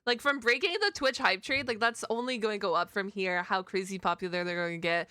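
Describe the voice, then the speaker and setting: A woman's voice: medium pitch, brisk, pushed and bright, clean tone, no echo, studio quality. A woman giving casual, slangy, gushing reaction commentary as a video host.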